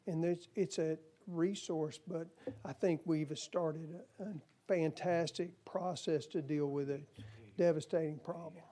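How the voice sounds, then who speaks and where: A man's voice: fairly high pitch, fairly even intonation, unhurried, dark tone. A man testifying at a legislative hearing, earnest and folksy, and he is losing his voice. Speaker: commission nominee.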